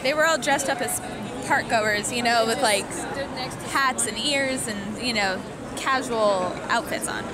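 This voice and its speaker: A woman's voice: a little high, unhurried, over loud music. A woman chatting casually as a podcast co-host. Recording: loud crowd din and background noise.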